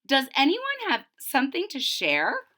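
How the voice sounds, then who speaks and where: Woman reading as a gentle, lively-pitched schoolteacher. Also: unhurried, full character voice. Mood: cheerful. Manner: gentle, encouraging. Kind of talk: children's picture book read-aloud.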